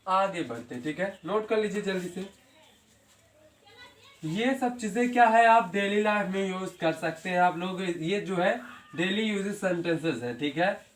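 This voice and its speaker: Slow, patient male English teacher. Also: sits high, roomy sound, recorded from a distance. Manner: slow, repeats phrases word by word, checks if students follow. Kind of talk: spoken English lesson in Hindi.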